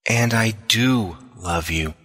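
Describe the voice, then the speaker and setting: A man's voice: lowish voice, takes it slowly, bright, forceful tone, heavily acted. A man playing a loyal Federation spy wounded in love, with an emotional delivery, in an audio drama. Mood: bitter resolve. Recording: long reverberant hall sound.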